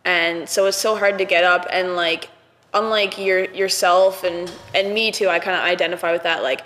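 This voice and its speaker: A woman's voice: on the low side, fairly even intonation, moderate pace, a bit bright, a little hiss or hum. A woman talking casually and thoughtfully in a podcast conversation, using "like" often. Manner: casual, thoughtful, many "like" fillers. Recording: reverberant room.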